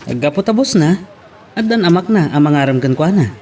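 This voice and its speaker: A man's voice: on the high side, lively intonation, fairly quick, a little mellow, lightly acted. A man voice-acting an anxious, devoted, lovestruck suitor in an Ilocano love drama. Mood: worried. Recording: distant mic, faint noise.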